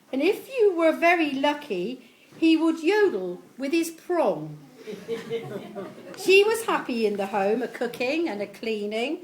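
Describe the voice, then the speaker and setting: A middle-aged woman reads a homemade rhyming poem aloud to gathered guests. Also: sits high. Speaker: family member reading a tribute poem at a party. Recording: live event recording with clear background noise.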